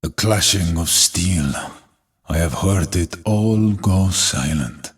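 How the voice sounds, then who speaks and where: An actor playing a battle-hardened, stoic warrior in a video game, declaiming poetic lines solemnly in a gritty, husky voice. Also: male voice, low voice, slow pace, full character voice. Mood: grave, resolute.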